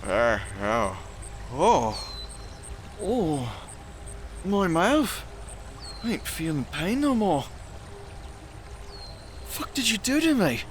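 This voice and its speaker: A man playing an obnoxious, hard-drinking, foul-mouthed fighter in an audio drama, slangy and dazed, with drawn-out exclamations. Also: fairly high pitch, sing-song, exaggerated voice. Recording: faint background noise.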